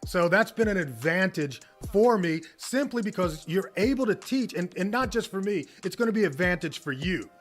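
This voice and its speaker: An American man, a business coach, giving an energetic motivational talk and often checking in with "right?". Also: sits high. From United States. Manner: energetic, tags lines with "right?". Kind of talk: motivational teaching monologue.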